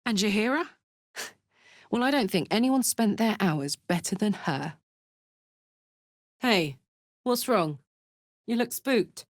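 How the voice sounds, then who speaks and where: A woman's voice: mid-range voice, expressive, slow, long pauses, no echo. A woman voicing a brash, fiery horned warrior woman in a video game, talking bluntly and informally like a soldier, recorded dry. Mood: warm, concerned. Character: brash, fiery female devil-horned warrior with an infernal engine heart. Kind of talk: video game character voice lines.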